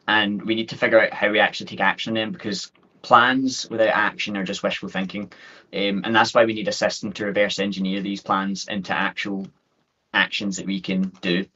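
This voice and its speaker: A man in his thirties with a thick Scottish accent, giving an upbeat, quick webinar talk full of fillers and restarts. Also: fairly low pitch, level tone, bright, forceful tone. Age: 30s. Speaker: guest speaker. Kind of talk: webinar presentation. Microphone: video call. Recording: dull lo-fi call audio.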